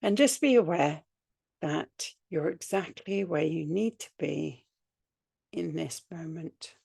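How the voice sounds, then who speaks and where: Slow, low-voiced woman guiding deep relaxation. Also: gravelly, darkish tone, breathy edge, dry recording, studio quality. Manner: soothing, unhurried phrasing with long pauses. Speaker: yoga nidra guide. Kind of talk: guided meditation, spoken relaxation practice.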